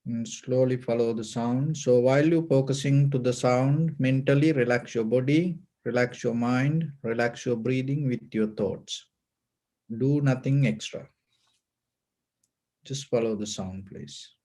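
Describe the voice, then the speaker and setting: Male meditation teacher, Sri Lankan accent, soft dark tone, medium pitch, gentle measured phrasing, pauses between instructions. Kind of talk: guided meditation and chanting.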